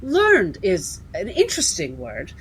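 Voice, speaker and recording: A woman playing a coolly persistent female interviewer, formal and measured, with pointed questioning. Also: on the low side, wildly swooping pitch, a little hiss or hum. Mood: cool, probing. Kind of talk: cinematic period drama acting.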